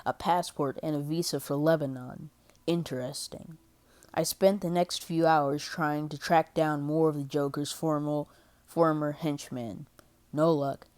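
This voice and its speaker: A woman's voice: sits low, fairly even intonation, a little slow, a little mellow. A woman voicing a brooding, grim male vigilante detective who narrates his thoughts in a solemn, terse, introspective comic book narration.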